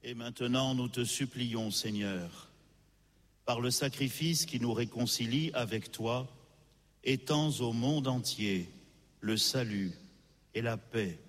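A man presiding at Mass leads the prayer in slow, solemn intoning. His voice is mid-pitched and unhurried. A long reverb from the church follows every phrase. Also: a bit bright. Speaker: presiding priest. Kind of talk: liturgical prayer.

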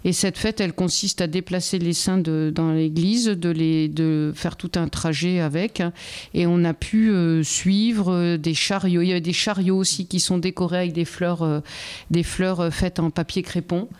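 A woman's voice: on the low side, fairly even intonation, normal speed, darkish tone, smooth. A middle-aged woman guest in a casual interview, speaking hesitantly with many fillers and restarts. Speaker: interview guest, Erasmus project member.

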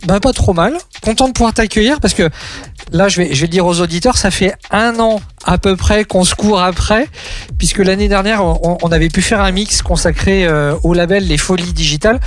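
A man's voice: high-pitched, brisk, soft background music. A man hosting a radio interview, asking friendly, conversational questions. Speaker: radio host.

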